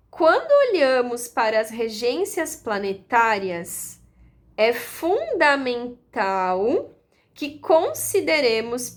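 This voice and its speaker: A woman giving a calm, explanatory lesson, repeating her points and using analogies. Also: sits high. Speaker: astrology teacher.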